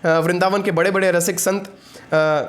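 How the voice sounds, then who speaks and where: A young man with a notably high voice delivers a spiritual discourse. He is earnest and instructive, moving between reading and informal explanation. He often repeats short phrases to press a point home. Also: steady tone, moderate pace. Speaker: spiritual teacher.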